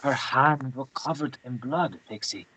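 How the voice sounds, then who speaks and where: A man acting a troubled, haunted boyfriend in a script read, halting and understated. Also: mid-range voice, sharp tone, a little hiss or hum, poor audio. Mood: uneasy. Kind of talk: script table read, acted dialogue.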